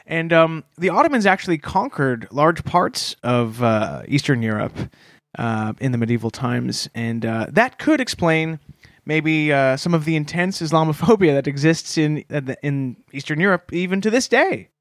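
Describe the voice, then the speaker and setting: A man co-hosting a comedy podcast, rambling with deadpan mock earnestness and many fillers. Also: on the high side, moderate pace. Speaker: podcast co-host.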